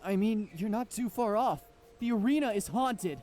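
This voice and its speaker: A man voicing an excitable, jokey teenage boy pilot, dramatic and slangy, in visual novel dialogue. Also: high-pitched, moderate pace. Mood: spooky, serious.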